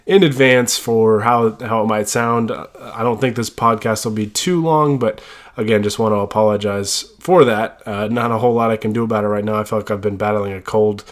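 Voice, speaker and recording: A man's voice: fairly low pitch, fairly even intonation, normal speed. Stuffy-nosed man hosting a podcast. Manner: rambling with frequent fillers and restarts. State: stuffed up with a cold. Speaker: podcast host. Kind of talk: casual sports podcast talk.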